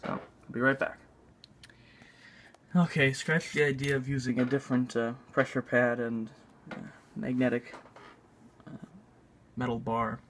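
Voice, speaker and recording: A man narrating a casual hands-on tutorial in a halting, unscripted way, thinking aloud as he works. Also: mid-range voice, flat delivery, a bit bright, slight background noise. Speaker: video maker demonstrating a repair. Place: indoors at a tabletop.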